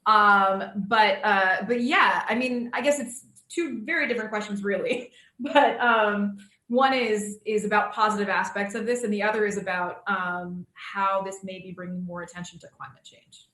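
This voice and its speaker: A woman's voice: mid-range voice, normal speed, bright, forceful tone, roomy sound. An American woman casually moderating a live panel over a video call, informal and hesitant as she thinks aloud. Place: indoor room, on Zoom call.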